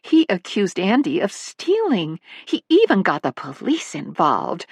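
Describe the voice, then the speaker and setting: A woman voicing a fussy older woman who dotes on her cats, speaking shrilly and high. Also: huge pitch swings, a little slow, vocal fry, breathy edge, no echo, exaggerated voice. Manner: shrill and high, frantic when upset. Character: fussy older woman, doting cat lady.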